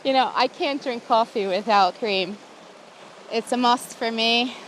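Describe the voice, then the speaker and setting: Chatty American woman vlogging outdoors; a touch high, quick to laugh, faint outdoor hiss behind. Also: a bit bright, rough recording. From USA. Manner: chatty and giggly, talking to camera while cooking. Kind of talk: casual outdoor vlog chat. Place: outdoors by a campfire in the woods.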